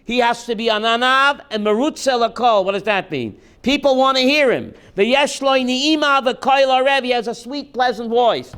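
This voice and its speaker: A rabbi teaching a class, reading text slowly and then explaining it in while quizzing his students. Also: very high voice, lively intonation, a bit bright, clean tone. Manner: reads Hebrew text aloud slowly, then explains in English, quizzing listeners.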